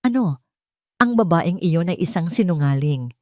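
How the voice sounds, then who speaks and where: A woman's voice: on the low side, lively intonation, a little slow, dark tone, no echo, studio quality. A woman voicing a wealthy older mother in an audio love drama, protective, consoling and insistent. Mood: indignant.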